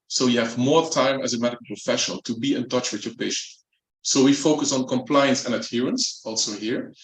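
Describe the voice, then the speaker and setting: A man with a Dutch accent speaks at a mid pitch. He talks in a relaxed, chatty way with light jokes. The sound is echoey, as in a hall. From Netherlands. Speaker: company executive. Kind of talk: formal speech at a launch event. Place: event room.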